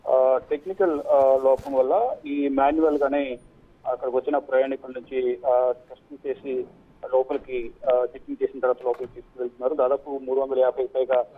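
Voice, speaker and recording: A man giving a live news report by phone, in a halting, hesitant way. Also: mid-range voice, flat delivery. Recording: muffled narrow phone line.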